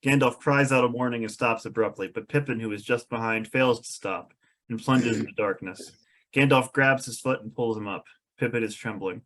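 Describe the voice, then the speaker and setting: Male narrator, middle pitch, reading screenplay action lines at a table read. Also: normal speed, fairly bright tone. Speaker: narrator reading stage directions.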